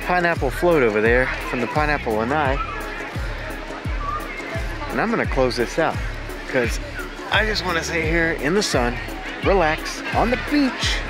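A man in his forties, host of a food vlog, chatting eagerly as he eats at a restaurant with the camera held close. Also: a little high. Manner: enthusiastic, chatty, narrates while eating. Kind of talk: casual food vlog chat. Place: indoor restaurant, then outdoor beach. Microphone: handheld camera held close.

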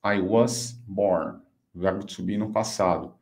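A man in his fifties with a Brazilian accent, a native Portuguese speaker, teaching an online English lesson and slowly enunciating example phrases. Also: lowish voice, steady tone, darkish tone. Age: 50s. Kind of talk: online language lesson, explaining and modelling phrases.

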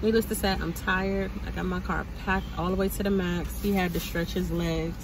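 A woman's voice: fairly low pitch, steady tone, moderate pace. An American woman in her thirties chatting casually and informally to viewers in a folksy way, recorded on a handheld phone. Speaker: vlogger. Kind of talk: casual vlog chat. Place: inside a moving car, also hotel room and house. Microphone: handheld phone at arm's length. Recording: noisy phone recording with car and road noise. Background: loud car and road noise.

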